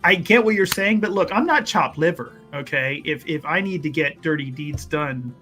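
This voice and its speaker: Man playing a bright, higher-pitched smooth-talking schemer. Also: moderate pace, soft background music. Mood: scheming, hedging. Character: smooth-talking scheming male adventurer, condescendingly reassuring. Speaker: tabletop role-playing player. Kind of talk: improvised in-character actual play.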